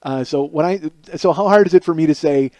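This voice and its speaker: Host, male, 40s, noticeable lisp, self-deprecating rambler, mellow tone, dry close sound. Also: on the high side, brisk, no room sound. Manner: rambling, self-mocking, animated storytelling. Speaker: podcast host. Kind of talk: solo comedic monologue.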